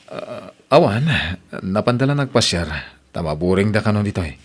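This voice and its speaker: A man's voice: lowish voice, animated, normal speed. A man playing a weary, defensive young husband in an audio drama. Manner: defensive, tired.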